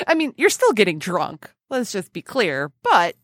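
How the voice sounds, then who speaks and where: A woman podcast host with very lively, swooping intonation and a dry, close sound. She chats casually and playfully, gushing a little as she banters with her guest. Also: mid-range voice, a little slow, no room sound. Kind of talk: casual chatty podcast interview.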